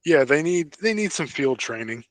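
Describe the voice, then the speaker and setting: A man's voice: fairly high pitch, expressive, normal speed, dry recording. A man co-hosting a podcast in casual fan discussion, speaking hesitantly and often trailing off between thoughts. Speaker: podcast co-host.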